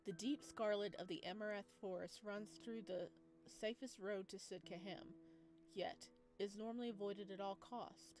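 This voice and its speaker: A woman's voice: medium pitch, steady tone, normal speed, darkish tone. A woman doing playful let's-play commentary on a visual novel, reading narration aloud and teasingly talking back to the characters. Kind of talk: playful reactive game commentary mixed with read-aloud narration. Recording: music behind the voice. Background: game music.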